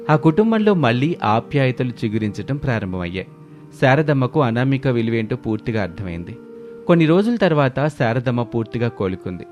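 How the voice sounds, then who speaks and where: A man's voice: mid-range voice, darkish tone. A man narrating a scripted moral story as the storyteller. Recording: music bed under the voice.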